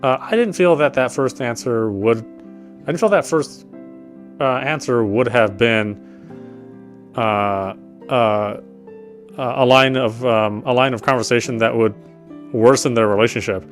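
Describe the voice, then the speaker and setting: A man's voice: medium pitch, unhurried, pauses often. Man playing through a story game aloud. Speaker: game streamer. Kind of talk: casual let's play commentary and reading story text aloud. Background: game music.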